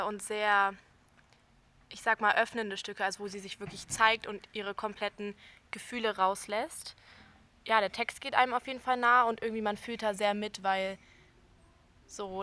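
A woman's voice: mid-range voice, sharp tone. A young woman, a soprano from Berlin, answering interview questions thoughtfully and searching for words. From Berlin, Germany. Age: young adult. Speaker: soprano singer.

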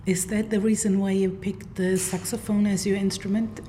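A woman interviewer asking measured, thoughtful questions. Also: mid-range voice, little pitch movement, mellow. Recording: faint background noise.

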